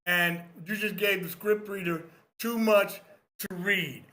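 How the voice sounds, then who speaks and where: A man with a high-pitched, forceful voice takes part in a workshop debate. He is opinionated and instructive, leaning on repetition to press each point. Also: steady tone, a little slow. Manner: emphatic, instructive, repeats points for emphasis. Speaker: participant in a screenwriting critique.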